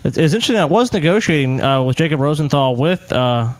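Fast-talking, higher-pitched man, dry sound. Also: expressive, no echo. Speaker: podcast co-host. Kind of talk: casual chat.

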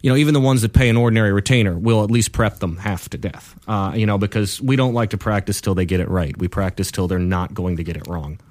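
A man's voice: lowish voice, fairly even intonation, quick pace, hardly any pauses, a little mellow, dry recording. A man with a Midwestern accent, a defense lawyer talking casually in an interview, blunt and profane, acting out what people said. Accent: Midwestern American. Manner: blunt, profane storyteller who acts out quotes.

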